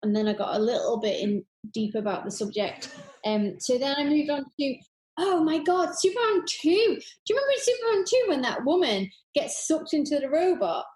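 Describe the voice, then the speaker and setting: A woman with a fairly high voice talks in a roomy, echoey space. She chats casually and enthusiastically, rambling from one thought to the next and gushing as she goes. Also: animated, normal speed, darkish tone. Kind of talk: casual chat.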